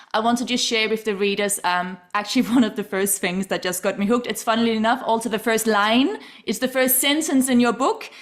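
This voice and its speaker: A woman hosting a podcast, effusive and enthusiastic, laughing often as she welcomes and praises her guest. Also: medium pitch. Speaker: podcast host. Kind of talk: podcast interview.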